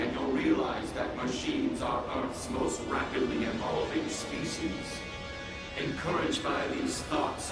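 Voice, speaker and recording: A man's voice: medium pitch, lightly acted. Echo-drenched, condescending supercomputer villain, acted by a man. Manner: formal, articulate, condescending monologue. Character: sentient evolving supercomputer, urbane, coldly grandiose villain. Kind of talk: scripted comic-book drama acting. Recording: heavily echoing, distant, loud music behind.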